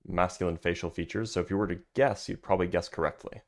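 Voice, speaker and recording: A man with a very low, deep voice talks through a scientific paper on a casual livestream. He reads passages aloud, then drifts into loose, filler-heavy asides to his chat. The tone stays relaxed and conversational throughout. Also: quick pace, gravelly. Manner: loose and chatty, many fillers, answers chat. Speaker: livestream host reviewing a physics paper.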